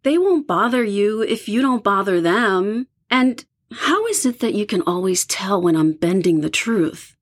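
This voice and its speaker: Young female roommate played by an actress, playful and slangy, mid-pitched with lively swings, easygoing horror-drama dialogue. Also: unhurried, husky. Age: young adult. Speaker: voice actor in audio drama.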